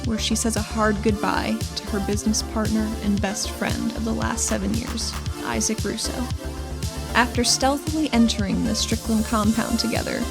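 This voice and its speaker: A woman narrates a scripted recap of an adventure story. Her voice sits a little high, and music plays clearly behind her.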